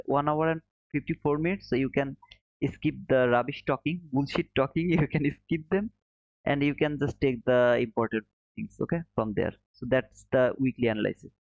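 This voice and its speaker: A man's voice: mid-range voice, dry recording. A man from Bangladesh talks casually to his viewers, using the friendly "brother" and little chuckles between comments. The sound is dry and close, with a slightly dull, muffled quality. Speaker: trading livestream host. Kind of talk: casual live chat reading viewer comments.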